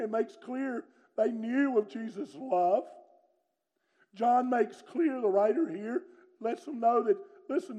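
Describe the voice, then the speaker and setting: A middle-aged preacher with a booming, forceful way of talking. His voice sits high for a man but has a mellow, warm tone. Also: dull audio. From North Carolina, USA. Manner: booming, loud and strong delivery. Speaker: pastor. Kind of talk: sermon with personal testimony.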